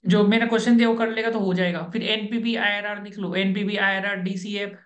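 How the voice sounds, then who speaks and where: A man's voice: sits high, little pitch movement, dull audio. Man coaching students over a video call. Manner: brisk, instructive, repeats key points. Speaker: interview coach. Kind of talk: online coaching session.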